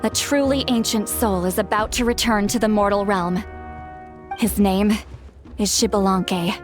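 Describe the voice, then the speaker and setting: A woman's voice: mid-range voice, fairly even intonation, measured pace, over music, natural voice. An actress playing a proud, fretful, easily exasperated shaman woman in an anime game, speaking bluntly. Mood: solemn.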